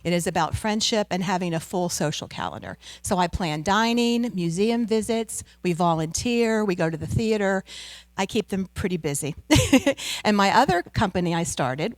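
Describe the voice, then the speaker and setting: Loud, upbeat American woman speaker. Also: fairly low pitch, expressive, normal speed, dry recording. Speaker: guest speaker, travel business owner. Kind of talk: seminar talk. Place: community center room.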